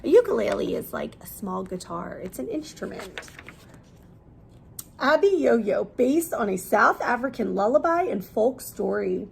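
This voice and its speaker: A woman teacher reading a picture book aloud expressively, pausing to explain things in simple words for young children. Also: mid-range voice, sing-song, gravelly, slight background noise.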